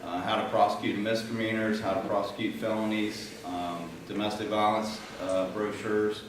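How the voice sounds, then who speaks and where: A man's voice: on the low side, little pitch movement, normal speed. A man speaking casually at a community meeting, explaining what a set of brochures cover. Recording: echoey room, distant mic, noisy.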